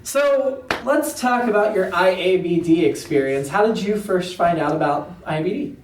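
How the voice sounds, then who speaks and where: Woman interviewer, low register, casual attentive chat with short interjections. Echoey room, faint noise. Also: lively intonation, normal speed, darkish tone. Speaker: podcast interviewer. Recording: roomy, distant mic, faint background noise.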